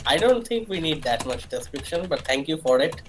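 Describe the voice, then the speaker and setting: A man's voice: on the high side, sing-song, fairly quick, noisy background. A man talking casually with friends as a streamer. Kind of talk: casual chat.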